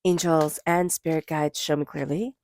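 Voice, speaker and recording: A woman with a stuffy cold giving a spiritual tarot reading, gentle and meandering, slowing into soft chanted invocations. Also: low-pitched, steady tone, dry recording. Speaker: tarot reader.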